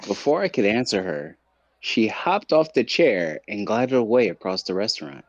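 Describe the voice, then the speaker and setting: A man's voice: lowish voice, big pitch swings, normal speed, dry recording, lo-fi sound. A man reading visual novel narration aloud as a streamer.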